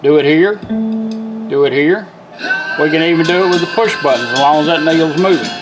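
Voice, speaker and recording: A man's voice: on the high side, brisk, music in the background, low-quality recording. A man giving an informal hands-on product tutorial in a folksy, step-by-step way. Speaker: product demonstrator.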